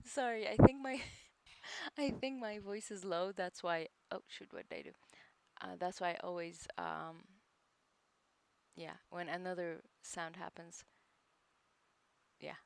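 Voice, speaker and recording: A woman's voice: fairly low pitch, slow pace, vocal fry, pauses often, a little mellow. A woman hosting a casual live stream, chatting hesitantly with viewers and laughing often. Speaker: livestream host studying along.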